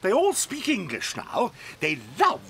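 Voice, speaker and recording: An actor playing an eccentric, gleeful chocolate factory owner who giggles and talks in a theatrical, whimsical way. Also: male voice, high-pitched, huge pitch swings, unhurried, pushed and bright, slight background noise, exaggerated voice. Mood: breezy. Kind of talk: audio drama acting.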